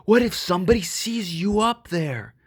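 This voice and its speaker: A man's voice: sits high, lively intonation, normal speed, breathy edge, voice pushed up. A man voicing a big, tough storybook dog who barks bossy, indignant orders with emphatic delivery. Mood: exasperated, bossy.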